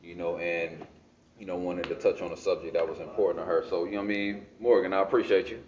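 A man's voice: sits low, fairly even intonation, quick pace, a little mellow. An American man interviewing someone in a casual, informal way, peppering his questions with little filler phrases, in an indoor space. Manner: informal, frequent "you know". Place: indoor art gallery. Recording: echoey room, dull muffled sound.

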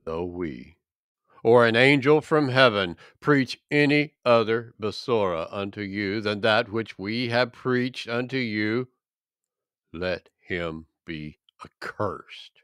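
A man reading a Bible chapter aloud in a measured, deliberate, solemn style. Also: on the low side, a bit bright, clear, resonant tone, no echo, studio quality. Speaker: scripture reader.

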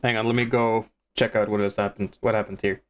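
A man's voice: fairly low pitch, little pitch movement, brisk, a bit bright, soft background music. A man chatting casually as a live streamer while he comments on the game he is playing.

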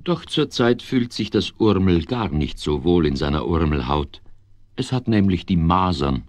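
A man playing a genial narrator, expressive and playful, in a scripted children's story. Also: low voice, a little slow, dry recording, soft background music.

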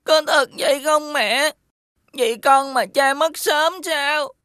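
A woman voicing a polite, sorrowful young village boy in an animated folktale, speaking with hesitant deference. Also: sits high, slow pace, no room sound, heavily acted. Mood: troubled.

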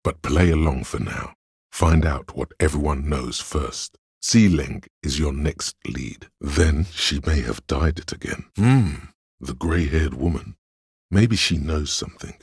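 A man playing the cool, analytical inner voice of logic in a video game, speaking in a measured, dryly superior way with a gravelly, husky tone. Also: very deep voice, a little mellow, dry recording, studio quality, heavily acted. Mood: probing, deductive. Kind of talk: dramatic video game narration.

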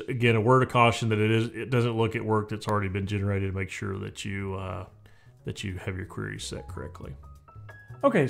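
A man in his forties giving a relaxed, conversational software walkthrough from a home office, with frequent fillers and restarts. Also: fairly low pitch, vocal fry. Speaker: software tutorial presenter. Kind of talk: casual screen-recorded software walkthrough.

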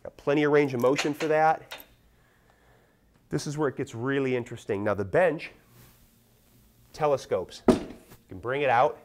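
A middle-aged American man giving a plain-spoken, explanatory product demonstration, like a fitness salesman instructing buyers. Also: medium pitch.

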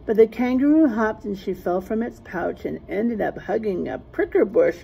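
A middle-aged woman reading a rhyming picture book aloud in a teacherly way, pausing to point out word pairs. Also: mid-range voice, gravelly, muffled recording, noisy background. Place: small classroom, indoors.

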